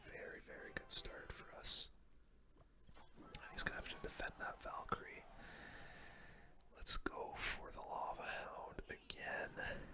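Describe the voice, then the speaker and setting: ASMR game commentator, breathy whisper, very sharp airy sound, casual slangy play-by-play, close mic. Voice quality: soft breathy unvoiced whisper. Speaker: gaming video host.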